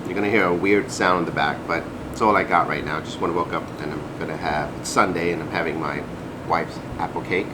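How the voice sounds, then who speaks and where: Male vlogger, deep voice, casual rambling chat to camera, home kitchen with clear background noise. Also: steady tone, brisk. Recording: noisy home recording, appliance hum.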